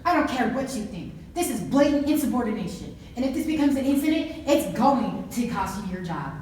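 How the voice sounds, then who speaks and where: Actress as female supervisor, harsh, domineering, film drama, booming room echo, faint noise. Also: big pitch swings, fairly quick, a little mellow, distant mic. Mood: angry, cold. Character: harsh, domineering woman supervisor scolding an employee. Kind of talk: amateur film drama dialogue.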